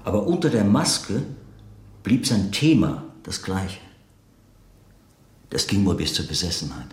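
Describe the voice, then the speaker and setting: A thoughtful man in a radio drama, recollecting with hesitant, earnest pauses. His slightly low voice moves through very lively inflections. The room adds noticeable echo around it. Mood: earnest, searching. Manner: searching, hesitant, earnest, pausing to reformulate. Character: reflective man recalling an enigmatic late writer friend. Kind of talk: naturalistic German radio play acting, interview-like monologue.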